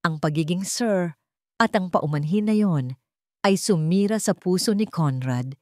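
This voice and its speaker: A woman's voice: lowish voice, a little slow, a little mellow, dry recording, clean studio sound. A woman narrator reading a scripted, dramatic love story.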